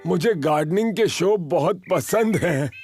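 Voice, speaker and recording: An easygoing old grandfather pig from a cartoon. He is mild and relaxed, talking slowly over music. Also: high-pitched. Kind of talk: children's cartoon dubbing.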